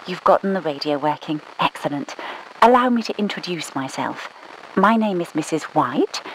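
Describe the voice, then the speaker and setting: A woman's voice: fairly low pitch, slight background noise, natural voice, low-quality recording. A woman voicing a clipped British spymaster. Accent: British English. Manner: clipped, dry, commanding, scolding. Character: brisk British woman spymaster giving orders. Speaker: mission controller. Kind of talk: game voice lines.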